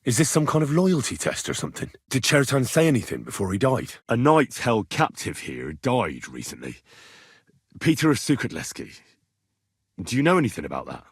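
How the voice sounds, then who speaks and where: An actor playing an earnest, inquisitive young medieval blacksmith turned adventurer in a video game, speaking in a plain-spoken way. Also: male voice, medium pitch, very animated, moderate pace, slightly rough, dry recording, studio quality. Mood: probing, determined. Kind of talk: video game dialogue lines.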